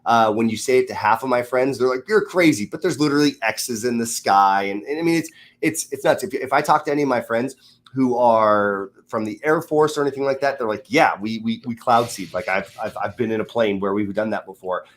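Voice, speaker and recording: A man with a nasal voice, guesting on a casual chat and talking in long animated run-ons. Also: mid-range voice, brisk. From Southern California.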